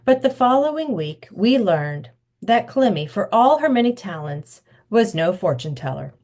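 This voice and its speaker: A woman's voice: mid-range voice, normal speed. A woman author reading her children's novel aloud, telling the story expressively. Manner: expressive storytelling, acting out the dialogue.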